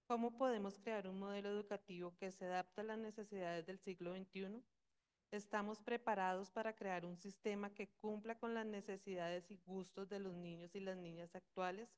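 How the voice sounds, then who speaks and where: Woman reading out her conference talk, steady and measured, middle pitch. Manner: measured, steady delivery with rhetorical questions. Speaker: conference speaker. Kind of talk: formal read-out presentation.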